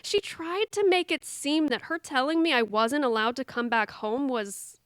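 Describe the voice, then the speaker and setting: A woman's voice: sits high, normal speed, a bit bright, dry recording. A woman acting in an audio drama as an anxious, self-doubting younger sister who has come home to her family. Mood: nervous, self-doubting.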